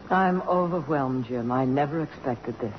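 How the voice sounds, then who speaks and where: An actress playing a brisk, proud middle-aged congresswoman with a romantic streak, in 1950s radio theater style. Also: extremely low pitch. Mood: tender, moved. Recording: muffled, hissy old radio broadcast.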